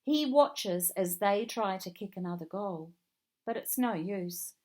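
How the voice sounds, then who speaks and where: A woman narrates a children's storybook as a read-along. She takes it very slowly and deliberately, with expressive phrasing and a mid-range pitch.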